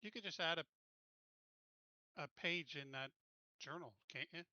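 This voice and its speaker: A man's voice: a little high, a little slow, pushed and bright, no echo, highs cut off. A man chatting casually as a player in a tabletop game.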